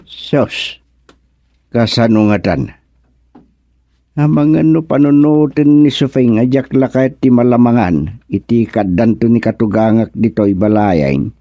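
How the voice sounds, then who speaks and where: An actor playing an easygoing older village husband in a comic radio serial, drawling and chuckling with lots of grunts. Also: male voice, medium pitch, measured pace, mellow, no echo, close to an everyday voice. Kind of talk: comic radio drama acting.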